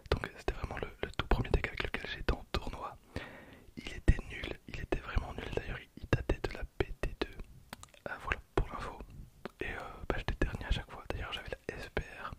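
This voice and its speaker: A man in his twenties whispers breathily and softly into a close microphone, hosting ASMR commentary with an unhurried, repetitive delivery. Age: 20s. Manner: soft, unhurried, repeats phrases. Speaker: ASMR creator and host. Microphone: close handheld-style stereo recorder.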